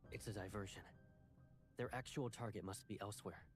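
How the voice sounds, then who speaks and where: An actor playing a guarded, protective young military commander in a dramatic video game. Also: male voice, fairly low pitch, steady tone, fairly quick, natural voice. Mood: urgent, controlled. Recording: game audio with music underneath.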